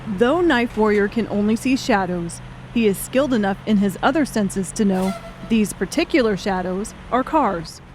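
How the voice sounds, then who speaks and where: A woman's voice: medium pitch, animated, normal speed, a little mellow, low-quality recording. Female narrator for scripted audiobook story, middle register, light background noise.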